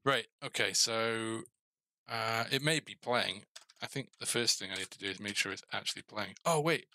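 Middle-aged man, bright low voice, casual tutorial style, musing, self-questioning. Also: normal speed. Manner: musing, asks himself questions. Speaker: game developer presenting a coding series. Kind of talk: thinking aloud while coding, casual tutorial.

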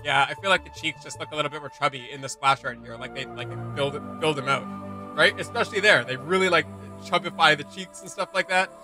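Young male reaction host, quick slangy chat, music behind. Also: on the high side. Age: young adult. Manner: slangy, loose conversational delivery. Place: small home room with desk, indoors.

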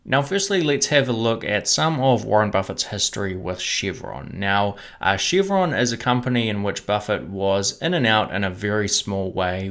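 A young man hosting an investing channel, explaining stock purchases to camera in a steady scripted way from a room at home. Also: lowish voice. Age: young adult. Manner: measured, explanatory, steady delivery. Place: home room with bookshelf, indoors.

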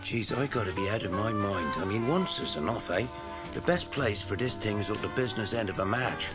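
An actor playing a wry, cigar-loving cartoon cat in a radio play, with folksy slangy grammar. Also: male voice, fairly low pitch, fairly quick, natural voice. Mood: wry grumbling. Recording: music behind, 1980s radio play.

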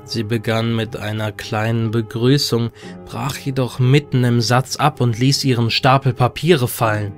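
A man's voice: medium pitch, creaky. A man reading a scripted horror story as an audiobook narrator. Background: music.

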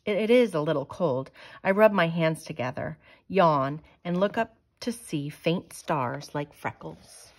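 Low-pitched woman reading a storybook aloud. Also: lively intonation, a little slow. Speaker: teacher reading a picture book aloud.

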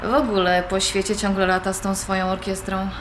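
A woman's voice: mid-range voice, level tone, fairly quick, close to an everyday voice. A young woman playing an independent-minded granddaughter, conversational and questioning, in audio drama dialogue. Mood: lightly upbeat. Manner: conversational, questioning, mildly protesting. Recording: roomy recording with background noise.

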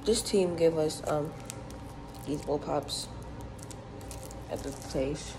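A young woman vlogging from a dorm room on a handheld phone, chatty and rambling, her voice hoarse and worn out. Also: low voice, slow pace, a little mellow. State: very tired. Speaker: vlogger at cheer camp. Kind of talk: casual selfie vlog chat. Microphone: phone held close at arm's length. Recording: noisy phone recording.

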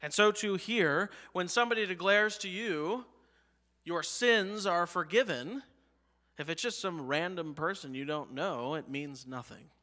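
A man in his thirties with an American accent, preaching a sermon from a church pulpit. He lifts his voice on key phrases and lets line endings fall low and soft. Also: measured pace. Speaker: pastor. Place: church sanctuary, behind a pulpit. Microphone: pulpit mic, medium-wide camera.

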